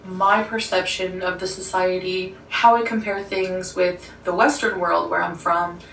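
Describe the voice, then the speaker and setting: A woman's voice: lowish voice, fairly even intonation, measured pace, gravelly, fairly bright tone, echoey room, recorded from a distance, a little hiss or hum, low-quality recording. A young woman from Ottawa talking casually to camera in a sit-down vlog, rambling a bit with lots of "like" and small laughs. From Ottawa, Canada. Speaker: vlogger.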